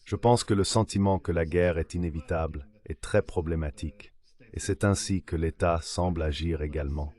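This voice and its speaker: A man's synthetic voice reading dubbed analytical commentary as an interview guest, in a measured, explanatory way. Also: deep voice, darkish tone. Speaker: translated voice of an interview guest, an academic.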